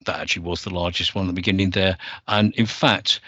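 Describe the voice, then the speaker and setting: A man from the UK presenting a software demo over a screen share, explaining step by step and correcting himself as he goes. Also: deep voice, steady tone, fast talker, no room sound, lo-fi sound.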